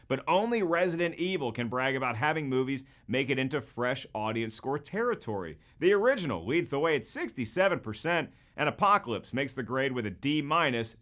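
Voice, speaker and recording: A man hosts with scripted, comedic narration in a mid-pitched voice. His delivery swings widely up and down, leaning hard on key words. He keeps a wry, joking tone throughout. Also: a bit bright. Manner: wry, joking, exaggerated emphasis. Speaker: host of a movie comparison video.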